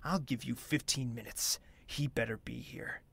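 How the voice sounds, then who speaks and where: Guy playing a proud earnest prince in a fantasy audio drama, bit of gravel in his voice, formal, mid pitch. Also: steady tone, moderate pace, a bit bright. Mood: cold, commanding. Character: lovestruck young male royal, formal, proud, easily wounded.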